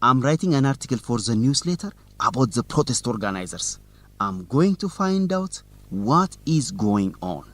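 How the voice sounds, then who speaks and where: An actor playing a teasing, suspicious young student newsletter reporter in an educational radio drama, with a determined manner. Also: male voice, mid-range voice, big pitch swings, moderate pace, lightly acted.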